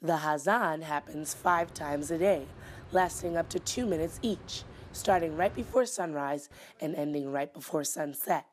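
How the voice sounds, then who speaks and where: Low-voiced woman news reporter. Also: a little slow. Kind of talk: scripted news narration.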